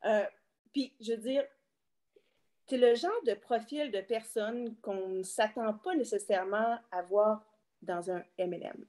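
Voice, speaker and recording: A woman's voice: medium pitch, unhurried, mellow. A woman with a Quebec French accent hosting a casual online interview in an informal, chatty way, often feeling for her words. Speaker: interviewer and host.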